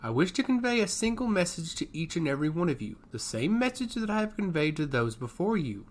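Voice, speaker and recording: A man's voice: fairly high pitch, very animated, fairly quick, pitched above the performer's own voice. A man voicing a harsh, solemn merman commander who looks down on his recruits in a fantasy audio story. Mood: cold contempt. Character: stern, contemptuous merman commander drilling recruits.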